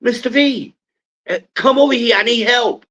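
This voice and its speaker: A man voicing a panicked young male trainee, high and stammering as he begs for help on a call. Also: normal speed, fairly bright tone, highs cut off. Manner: pleading, stammering.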